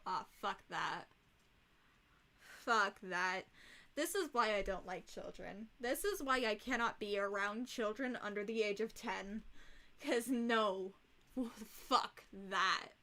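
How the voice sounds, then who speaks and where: A young woman livestreaming with a rough, hoarse voice, chatting casually and bluntly, swearing freely. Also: medium pitch, takes it slowly, bright, forceful tone.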